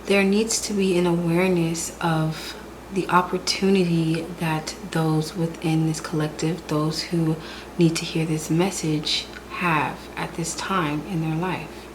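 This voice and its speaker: A woman doing a spiritual tarot reading in a calm, repetitive way, sounding stuffy and hoarse from a cold. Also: sits low, measured pace, background noise. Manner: calm, repetitive, soft-spoken delivery. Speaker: tarot reader.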